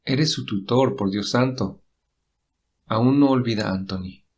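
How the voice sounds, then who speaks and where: A man's voice: mid-range voice, measured pace, darkish tone, slightly rough. A man playing an adult man who sternly scolds himself over a forbidden love in an audio story. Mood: self-reproach. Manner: stern, self-reproaching. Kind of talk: acted inner voice in audio story.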